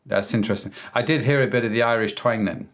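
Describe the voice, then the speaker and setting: An English man hosting a podcast interview in a chatty, lively way, reading a sponsor spot and talking with his guest. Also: fairly low pitch, fast. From England. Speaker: podcast host.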